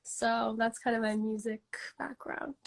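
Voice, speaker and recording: Young woman w/ a high stuffy voice, says "like" a lot, laid-back interview chat. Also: fairly even intonation, a little slow, vocal fry. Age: 20s. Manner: chatty, lots of "like" and "um" fillers. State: congested. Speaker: singer-songwriter guest.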